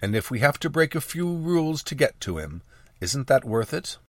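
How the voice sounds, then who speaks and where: A man's voice: mid-range voice, very animated, moderate pace, dry recording, studio quality, pitched above the performer's own voice. A man as an evasive, reasoning mage teacher. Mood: persuasive. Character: male mage teacher, evasive, persuasive, morally compromised.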